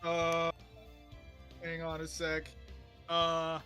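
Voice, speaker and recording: A man's voice: fairly high pitch, completely flat delivery, drawn-out words, sharp tone, clear, resonant tone. A man chatting casually as a participant in a gaming stream, with music behind.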